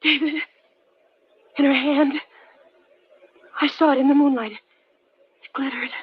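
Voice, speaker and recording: An actress playing a terrified, hysterical wife in a 1940s radio thriller. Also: female voice, high voice, steady tone, takes it slowly. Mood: panicked. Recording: dull old radio recording.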